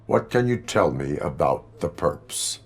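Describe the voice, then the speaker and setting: An actor playing a stoic cyborg policeman in a video game, gravelly and formal, with clipped, flat questions. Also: measured pace. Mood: stoic, businesslike. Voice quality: gravelly, very deep. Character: stoic cyborg policeman, part man, part machine.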